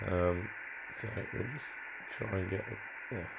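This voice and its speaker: Low-voiced man over clear background noise. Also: unhurried. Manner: hesitant, trailing off, thinking aloud. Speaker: hobbyist presenter demonstrating modified radio gear. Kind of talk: informal hands-on demonstration.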